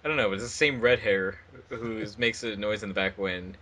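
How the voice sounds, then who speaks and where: Guy doing casual commentary, kinda low voice but sharp and forward. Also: a little fast. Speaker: fandub team member.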